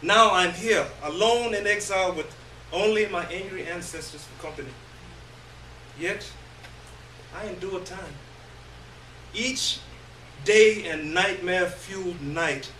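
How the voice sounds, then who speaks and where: A roomy, distant recording carries a man's notably high voice as he reads a story aloud on stage. His delivery is theatrical and halting, with long pauses between phrases. Faint noise sits behind him. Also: sharp tone. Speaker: reader of a short story. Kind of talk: dramatic first-person literary reading on stage. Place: live indoor stage reading. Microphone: distant microphone.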